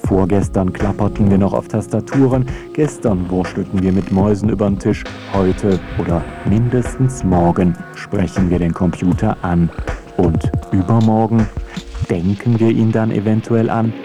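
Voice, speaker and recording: Low-voiced wry man narrating over music. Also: normal speed. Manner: wry, rhetorical. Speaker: author and narrator of a radio feature. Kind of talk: scripted radio feature narration.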